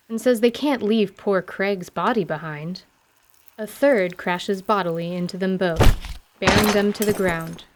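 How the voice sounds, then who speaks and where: A woman reading scripted narration for a fantasy audio drama in a measured storyteller's manner. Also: mid-range voice.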